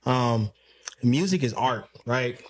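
Man in his thirties, mid-pitched voice, laid-back, slangy, casual band chat. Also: animated, takes it slowly. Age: 30s. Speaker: band drummer. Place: living room of a house.

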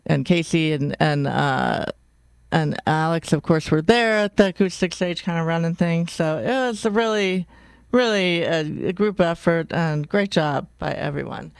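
Older lady radio host, deep smooth voice with some gravel, chatty and rambling, keeps fixing what she just said. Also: measured pace, no room sound. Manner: rambling and enthusiastic, often correcting herself. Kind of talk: casual radio chat. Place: radio studio.

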